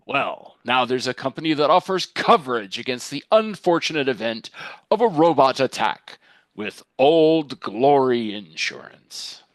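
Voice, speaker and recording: Man playing a slow, swooping, ominous insurance pitchman. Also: lively intonation, dry recording, natural voice. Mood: grave, ominous. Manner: grave, deliberate sales pitch with dramatic emphasis. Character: earnest, ominous older TV actor as insurance spokesman. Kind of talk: mock television commercial read.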